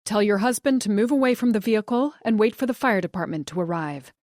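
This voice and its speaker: A woman voicing a calm emergency dispatcher who gives steady instructions. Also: mid-range voice, fast talker, dry recording, studio quality, voice pushed up, close to an everyday voice. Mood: calm, firm.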